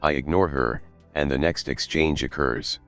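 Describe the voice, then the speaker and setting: A man narrating a Reddit story in a steady, even read. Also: very deep voice, normal speed, smooth. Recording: faint background music.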